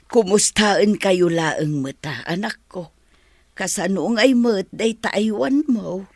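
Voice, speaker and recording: A woman's voice: medium pitch, slow, a little mellow, dry recording. A woman acting a concerned, affectionate mother in a Filipino radio drama, with a tender manner.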